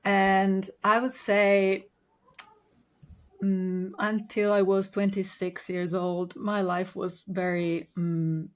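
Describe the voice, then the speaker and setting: Italian woman in her thirties, podcast guest; unhurried, halting delivery at a middling pitch. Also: little pitch movement. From Italy. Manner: unhurried, hesitant with many ums and pauses. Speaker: podcast guest, Reiki master. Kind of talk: casual interview storytelling.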